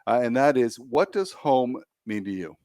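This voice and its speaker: A man's voice: mid-range voice, sing-song, moderate pace, dark tone, dry recording, clean studio sound. A man hosting a podcast, introducing and questioning his guest in an upbeat, encouraging way. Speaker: podcast host. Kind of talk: friendly interview hosting.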